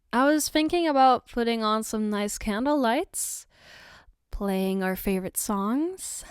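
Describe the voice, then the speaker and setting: A woman playing an affectionate, gently teasing girlfriend in a soft-spoken ASMR roleplay, tender and reassuring. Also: on the high side, smooth, no echo, studio quality. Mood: happy, affectionate. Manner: tender, reassuring, playfully coaxing, unhurried. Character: affectionate, gently teasing girlfriend doing her partner's makeup.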